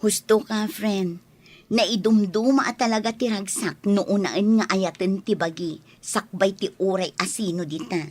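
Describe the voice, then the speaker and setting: A woman's voice: medium pitch, a little slow, close to an everyday voice. An actress with an Ilocano accent playing a sincere, devoted young single mother in a radio drama. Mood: reflective.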